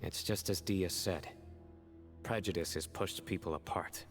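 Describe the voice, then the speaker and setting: A stern young general from a video game. His voice sits low and stays restrained, serious and resolute, with quiet music underneath. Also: a little slow. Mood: reflective.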